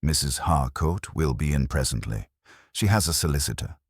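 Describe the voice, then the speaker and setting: A man voicing a reserved female surgery receptionist in a detective story, quiet, professional and exact in her speech. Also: a bass voice, animated, normal speed, breathy edge, dry recording, clean studio sound, exaggerated voice. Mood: composed.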